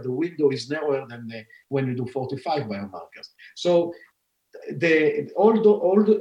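A man's voice: on the high side, a little mellow, muffled recording. A man in his forties with an Israeli accent, a scientist and founder explaining his work in a podcast interview, with many fillers and restarts. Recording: roomy echo.

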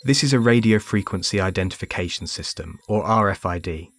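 A man reading scripted documentary narration in a measured way. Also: sits low.

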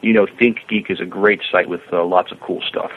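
A man co-hosting a podcast, chatting casually with a hesitant, halting delivery. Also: deep voice, steady tone, husky, phone-quality sound. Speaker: podcast co-host.

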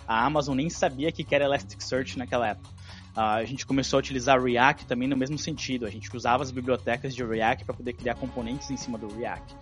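A Brazilian man in his thirties, a guest in an interview, telling his story in a relaxed way with slang and fillers. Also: mid-range voice. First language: Portuguese. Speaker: podcast guest, engineering executive. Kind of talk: casual interview chat.

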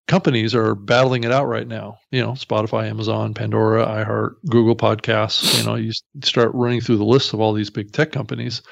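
A man's voice: fairly low pitch, vocal fry. A man co-hosting a podcast, casually discussing the industry. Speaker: podcast co-host. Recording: dull, slightly lo-fi audio.

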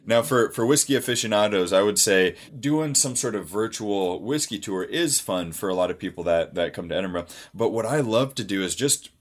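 A man hosting a travel chat, relaxed and conversational, asking his guests leading questions. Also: fairly low pitch, a little fast, hardly any pauses. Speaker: podcast host.

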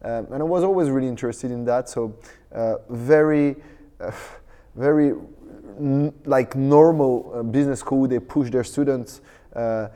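A man's voice: mid-range voice, normal speed, mellow, long reverb tail. A man in his thirties from France with a French accent, a startup co-founder talking as a guest in an interview, hesitant and full of fillers. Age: 30s. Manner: hesitant, many fillers and restarts. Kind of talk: casual interview. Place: tech conference.

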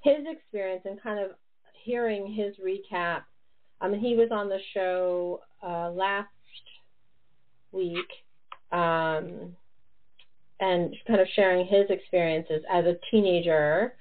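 A woman's voice: mid-range voice, fairly even intonation, takes it slowly. A woman in her forties hosting a casual podcast chat, talking loosely with lots of fillers and laughter. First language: English. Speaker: podcast host. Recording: tinny phone-like line.

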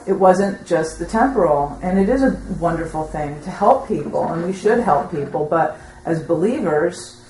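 A woman speaking from the audience in a group discussion, halting and tentative as she shares her experience. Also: lowish voice, lively intonation, a little mellow. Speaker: audience member commenting at a teaching session. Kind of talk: rambling spoken testimony in a group discussion. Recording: roomy echo with faint background noise.

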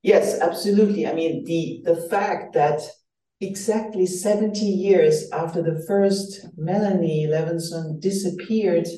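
A woman's voice: lowish voice, steady tone, unhurried, mellow, echoey room, recorded from a distance, rough recording. A woman whose first language is German, speaking as an author in an earnest, reflective interview and often restarting her phrases. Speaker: author guest. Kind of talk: radio interview.

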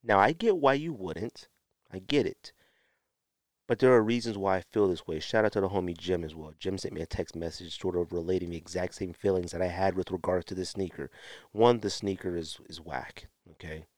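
A man with an American accent giving opinionated solo podcast talk in a blunt, emphatic way, with drawn-out pauses and repeated phrases. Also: deep voice, darkish tone, no room sound. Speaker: podcast host.

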